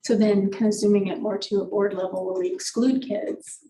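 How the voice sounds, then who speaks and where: A woman on a school board, soft-spoken and hesitant, asking questions at a meeting. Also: medium pitch, little pitch movement, fairly quick. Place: large meeting room. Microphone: desk microphone from afar. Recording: echoey room, distant mic, faint hiss.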